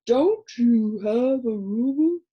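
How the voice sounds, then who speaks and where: A woman's voice: a little high, expressive, slightly rough, muffled recording, pitched above the performer's own voice, heavily acted. A woman doing sleepy drawling tiny creatures. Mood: drowsy droning. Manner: slow, drawn-out, yawning delivery. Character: drowsy tiny color-changing creatures, droning and sleepy. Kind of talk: audiobook character voice.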